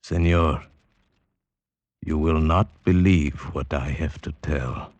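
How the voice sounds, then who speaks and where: An actor playing a haunted, ragged mountain guide in an old-time radio drama, speaking in halting, solemn, formal phrases. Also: male voice, very deep voice, darkish tone, dry recording.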